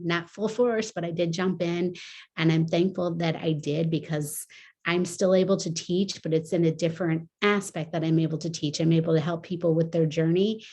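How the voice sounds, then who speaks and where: A woman's voice: on the low side, darkish tone. A woman in her forties from Michigan telling her story on a video call, laughing easily. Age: 40s. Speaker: guest sharing her story. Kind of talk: personal testimony.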